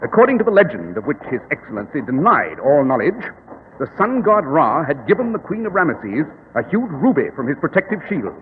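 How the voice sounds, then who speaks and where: An actor in a 1940s radio drama playing a shrewd, self-assured English detective, brisk, commanding and precise. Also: male voice, on the high side, huge pitch swings. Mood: confident, explanatory. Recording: tinny, noisy old radio recording, reverberant.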